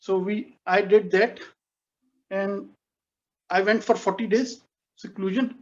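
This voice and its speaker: A man in his forties from Pakistan telling his life story on a video call, speaking haltingly with many restarts and self-corrections. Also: high voice, fairly even intonation, dull audio. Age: 40s. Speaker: guest speaker. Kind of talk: personal life story talk.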